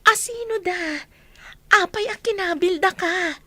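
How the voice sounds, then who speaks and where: Woman doing concerned village girl, super high voice, ilocano accent, radio drama, dry sound. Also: a little slow, bright, forceful tone, no echo, exaggerated voice. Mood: concerned, questioning.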